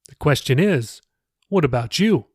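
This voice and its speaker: A man acts out an earnest male pastor in an audiobook story. He speaks in a raised pitch with casual, insistent persuasion, and the sound is close and dry. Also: animated, unhurried, dry recording. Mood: probing. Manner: colloquial, insistent, questioning. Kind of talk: audiobook character dialogue.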